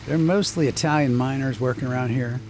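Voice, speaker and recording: An actor playing an easygoing, watchful small-town doctor in a radio drama, with a West Virginia accent. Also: male voice, medium pitch, a little fast, a little mellow, a little hiss or hum, lightly acted. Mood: friendly, easygoing. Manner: folksy, conversational.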